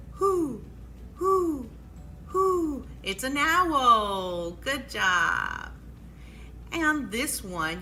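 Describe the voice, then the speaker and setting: Female children's storytime host: very lively intonation, unhurried delivery, encouraging questions, recorded in an echoey room. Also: mid-range voice. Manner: exclamatory, encouraging, prompting listeners with questions. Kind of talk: children's storytime with action songs and guessing games. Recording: echoey room, faint background noise.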